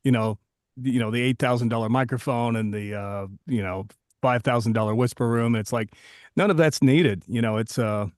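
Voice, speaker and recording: A man's voice: mid-range voice, moderate pace, dry recording, clean studio sound. A man co-hosting a podcast as a voiceover coach, chatting casually and joking with candid, occasional swearing.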